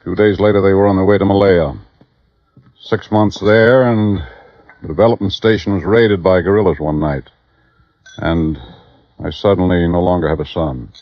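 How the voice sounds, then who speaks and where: A man's voice: deep voice, steady tone, moderate pace, dry recording, lightly acted. An actor playing a blunt, grieving, rich old man with an American accent in a 1950s radio drama. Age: older. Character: rich old dying millionaire, gruff, grieving his son. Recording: dull vintage radio recording.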